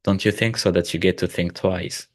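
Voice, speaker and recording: A man with the flu hosting a casual video call, relaxed and given to laughing and asking thoughtful questions. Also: deep voice, moderate pace, no room sound, studio quality. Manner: relaxed, laughs often, asks reflective questions. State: sick with flu. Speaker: host.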